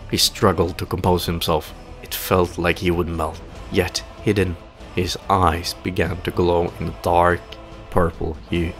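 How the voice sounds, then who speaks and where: Very deep-voiced man narrating dark fantasy. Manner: theatrical, solemn, deliberate phrasing. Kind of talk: dramatic scripted fantasy audio play narration.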